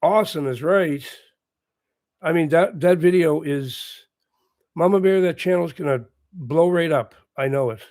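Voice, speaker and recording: A man with a hoarse voice from a recent bout of laryngitis, hosting a casual livestream and chatting quietly and encouragingly with his viewers. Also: on the high side, a little slow, dry recording, clean studio sound. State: hoarse, recovering lost voice. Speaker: livestream host. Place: at home with morning coffee.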